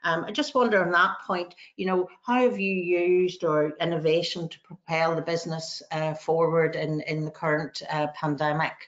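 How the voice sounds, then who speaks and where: Low-voiced woman hosting a webinar. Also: level tone, moderate pace, hardly any pauses, highs cut off. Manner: polite, with many ums and hedges. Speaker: webinar host.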